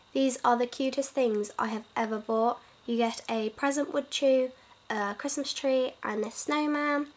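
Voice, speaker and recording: A woman vlogging at home, chatting casually and enthusiastically with frequent "um" and "like", her voice still affected by a cold. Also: high voice. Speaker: pet vlogger. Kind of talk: casual haul video.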